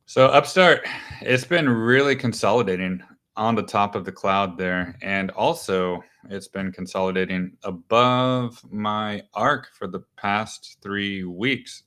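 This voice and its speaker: A man hosts a live market show and walks through stock charts in a casual, conversational way. His voice sits a bit low and smooth. He thinks aloud, with fillers and small restarts between points. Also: takes it slowly, fairly bright tone.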